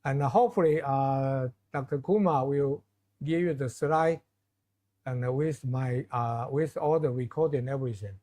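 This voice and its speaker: A middle-aged man with a Chinese accent giving informal closing remarks to a class of students, often pausing and restarting his phrases with many fillers. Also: mid-range voice, mellow. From China. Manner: halting, restarts phrases, many fillers, asks audience for questions. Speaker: visiting lecturer.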